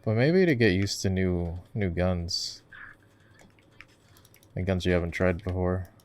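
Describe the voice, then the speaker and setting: A man giving relaxed, casual gaming commentary in an ASMR roleplay, speaking off the cuff as he plays. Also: deep voice, unhurried. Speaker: gamer, voice actor playing a gamer boyfriend. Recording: faint keyboard clicking and typing noise. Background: keyboard typing and clicks.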